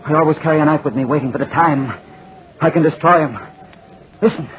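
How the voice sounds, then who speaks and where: A man's voice: a little high, fairly quick, natural voice. Man acting tormented old man, scratchy vintage radio. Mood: frantic, terrified. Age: older. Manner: fraught, melodramatic, repeats phrases. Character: tormented, paranoid old man, haunted and desperate. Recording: scratchy, noisy, tinny 1940s radio recording.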